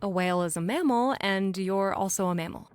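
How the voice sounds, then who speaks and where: A woman's voice: medium pitch, fairly quick, smooth, no room sound, natural voice. A woman playing a forthright, logical scientist in an audio drama, casual and sardonic as she explains things. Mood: patient explaining. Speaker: actor.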